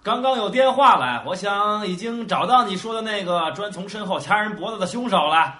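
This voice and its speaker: Businesslike male cop, radio drama, bright, highish voice. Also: animated, brisk, heavily acted. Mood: brisk reporting. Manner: matter-of-fact. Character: businesslike male police officer reporting in. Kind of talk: Mandarin radio drama acting.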